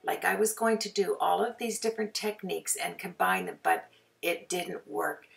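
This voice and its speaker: A woman with a raspy voice from a sore throat, narrating a card-making tutorial as a chatty, rambling voice-over. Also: fairly low pitch, very animated, normal speed, recorded from a distance. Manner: chatty, rambling, with frequent fillers. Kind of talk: casual voice-over for a craft video.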